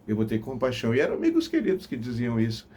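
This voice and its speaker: A man's voice: mid-range voice, huge pitch swings, fast talker, a little mellow, breathy edge. A middle-aged man, reminiscing to viewers like a veteran radio announcer, with emphatic, theatrical storytelling. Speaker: veteran radio announcer telling career memories on his channel.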